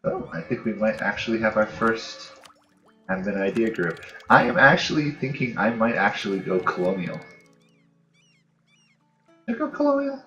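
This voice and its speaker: A man with a low voice gives casual gaming commentary, musing aloud as he goes. The recording is dull and roomy, with game music playing behind him. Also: big pitch swings, moderate pace, pauses often, sharp tone. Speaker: host of a gaming channel playing a strategy game. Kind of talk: casual let's-play commentary.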